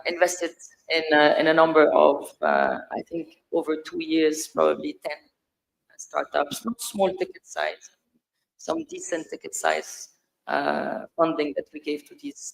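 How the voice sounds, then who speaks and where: A middle-aged woman from Lebanon speaks on a panel with a noticeably creaky voice. She tells her story in deliberate, broken-up phrases. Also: low-pitched, poor audio. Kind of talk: panel discussion, personal anecdote.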